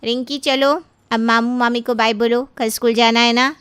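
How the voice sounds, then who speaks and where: A gentle young mother voiced by a woman for an animated family tale. Her voice is fairly high and she talks in a soothing, reassuring way. The recording is dry. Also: normal speed, clean tone, no room sound, natural voice. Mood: pleasant.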